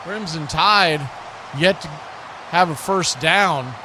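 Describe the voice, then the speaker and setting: A man's voice: on the high side, slow, background noise. A man hosting a live football stream, mixing play-by-play commentary with casual chat in folksy, colloquial phrasing.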